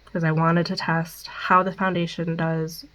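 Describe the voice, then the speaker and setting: A woman's voice: on the low side, little pitch movement, normal speed, a bit bright, poor audio. A young woman with a Midwestern American accent and a stuffed-up nose, chatting casually through a makeup wear-test vlog with many ums. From Midwestern United States. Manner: chatty, many ums, reads product details. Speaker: beauty vlogger.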